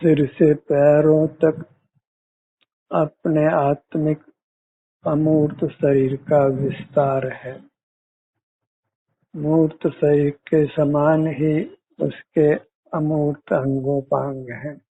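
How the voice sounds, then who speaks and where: A man leading a guided meditation as a teacher, giving measured instructions with frequent pauses. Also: a little high, level tone, slightly rough. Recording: muffled, phone-like narrow-band audio.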